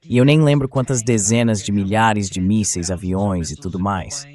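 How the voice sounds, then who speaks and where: A man's voice: on the low side, normal speed, a little mellow. A synthetic man's voice in a translated voice-over, giving explanatory political commentary as an expert guest would.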